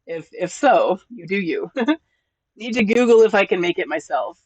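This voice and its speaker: A man from California and New Mexico chatting on a live stream while reading chat, halting with many restarts and frequent laughs. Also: high-pitched, sing-song, breathy edge, dry recording. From born in California, raised in New Mexico. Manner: laughs often, easygoing.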